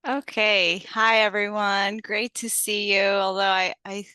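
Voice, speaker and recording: A woman's voice: medium pitch, flat delivery, measured pace, sharp tone, smooth, no room sound. A young adult woman from Colombia giving an online presentation to teachers, friendly and explanatory with frequent ums. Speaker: guest speaker, teacher trainer.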